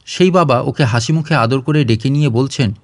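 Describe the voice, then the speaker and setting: A man's voice: medium pitch, moderate pace, dry recording, clean studio sound. A man reading a scripted short story as an audio narrator.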